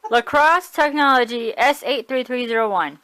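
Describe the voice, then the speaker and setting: High-voiced woman giving a casual tour. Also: lively intonation, normal speed, fairly bright tone, smooth. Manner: offhand, chatty, corrects herself, shrugs things off. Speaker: weather radio collector showing her collection. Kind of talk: casual home video tour. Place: room at home.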